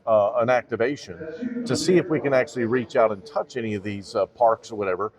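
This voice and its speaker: A man's voice: mid-range voice, fast talker. Casual man amid crowd chatter. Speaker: interviewer and channel host. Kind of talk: casual conversational interview.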